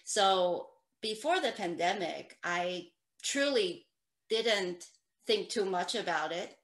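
A woman's voice: on the low side, lively intonation, slow. A middle-aged woman raised in Taiwan with a Taiwanese accent, an attorney speaking earnestly on a video call from home. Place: home room with piano. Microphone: video call webcam. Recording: echoey room.

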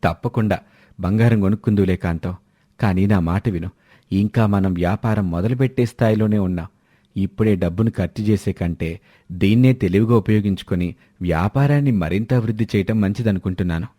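A man plays an ambitious village husband in a storybook cartoon, low in pitch and persuasive. He reasons and coaxes, and the sound is dry. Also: brisk, mellow, dry recording, lightly acted. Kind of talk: animated moral-story dialogue.

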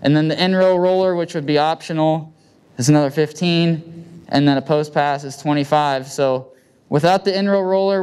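A man with a Midwestern American accent speaks plainly and informally, like a farmer presenting to peers. His voice sits a little high for a man and is very clean and smooth. He talks into a handheld microphone in colloquial, practical language. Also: darkish tone. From United States. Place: indoor meeting room with seated audience.

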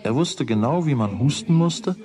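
A man narrating a children's radio play as a wry, deliberate storyteller with a husky voice. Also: mid-range voice, expressive, warm tone, lightly acted. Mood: wry, measured. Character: wry storyteller of a boy's everyday troubles.

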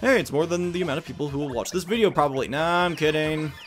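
A man's voice: a little high, quick pace, a bit bright. A man giving playful video game commentary as a Let's Play host, with jokey, self-deprecating asides. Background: game music.

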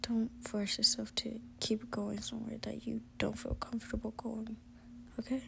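Woman voicing a gentle whispering boyfriend. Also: a little high, monotone, moderate pace, vocal fry, a little hiss or hum. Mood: tender. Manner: soft hushed whisper, reassuring. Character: gentle caring young male boyfriend.

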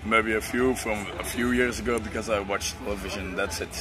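A man's voice: lowish voice, steady tone, brisk. A man in his twenties from Belgium, chatting casually at an outdoor festival into an interviewer's handheld mic, with dry jokes. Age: 20s. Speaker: festival-goer. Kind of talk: casual chat. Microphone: handheld mic held up by interviewer. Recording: noisy outdoor festival recording.

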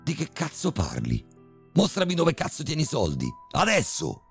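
A man playing a furious, brutal middle-aged criminal father who shouts vulgar threats in a horror story reading. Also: on the high side, huge pitch swings, normal speed, creaky, soft background music, voice pushed up, heavily acted. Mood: enraged.

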